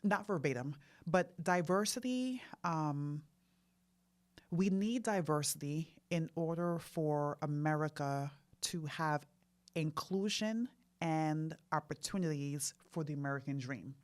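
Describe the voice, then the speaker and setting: A woman's voice: low-pitched, a little slow, darkish tone. A woman from Brooklyn, co-hosting a casual discussion show, speaking with conviction and affirming her partner often. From Brooklyn, New York. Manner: affirming, speaks with conviction, lots of agreeing murmurs. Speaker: podcast co-host.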